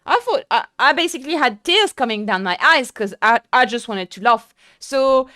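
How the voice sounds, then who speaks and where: Chatty, excitable young woman streamer. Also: fairly high pitch, moderate pace, no echo, clean studio sound. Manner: chatty, excitable, lots of fillers and restarts. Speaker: sim racing streamer. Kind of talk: casual live-stream chat.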